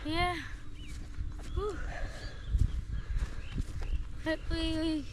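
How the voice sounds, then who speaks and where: A woman's voice: very high voice, little pitch movement, very slow. A woman chatting casually as a companion in a vlog. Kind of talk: casual chat. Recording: very noisy camera audio.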